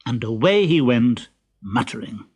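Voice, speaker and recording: A man's voice: mid-range voice, expressive, unhurried, loud music behind the voice. A man narrating a children's story expressively, as a storyteller reading from a script.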